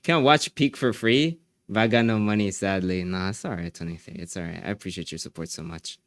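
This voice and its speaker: Low-voiced man chatting with his stream. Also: brisk, dry recording, clean studio sound. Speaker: streamer reading chat. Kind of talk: casual live-stream chat.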